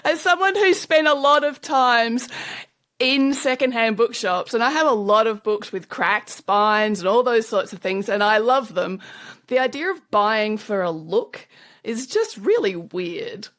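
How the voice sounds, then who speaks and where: A woman hosting a podcast, asking curious questions in a relaxed, amused way. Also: fairly high pitch, a little slow. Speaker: podcast host interviewer. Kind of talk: casual interview questions.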